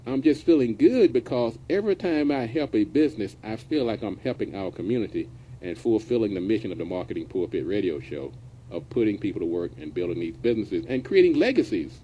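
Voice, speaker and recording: A man's voice: lowish voice, big pitch swings, brisk, mellow, highs cut off, slight background noise. A middle-aged man hosting a business radio show, talking in a folksy, casual way and now and then dropping verbs. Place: radio studio.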